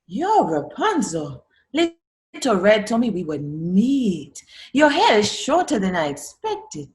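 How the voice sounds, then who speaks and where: Slow, guarded young woman in a roomy recording, acted. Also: mid-range voice, huge pitch swings, darkish tone. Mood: wary. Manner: defensive, doubting. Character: reluctant, guarded young village woman far from home. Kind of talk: radio play acting.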